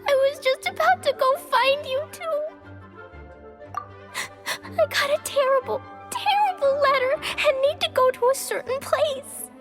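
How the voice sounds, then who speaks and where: A woman voicing a small, cute, earnest and excitable little girl in a video game, with a childlike, sometimes halting way of talking. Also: very high-pitched, over music. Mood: eager, worried. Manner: childlike, refers to self in third person, halting over hard words. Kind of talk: video game character voice acting.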